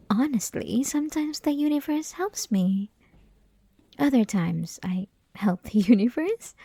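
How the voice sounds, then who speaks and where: Yandere student played by a young woman: teasing, coy, sweetly possessive, slow breathy delivery with some vocal fry, intimate ASMR roleplay. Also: medium pitch, dry recording, heavily acted. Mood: smug, teasing. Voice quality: soft, breathy, often whispering. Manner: teasing, coy, giggly, lingering pauses. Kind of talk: ASMR roleplay, intimate one-sided conversation. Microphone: close ASMR microphone, dry.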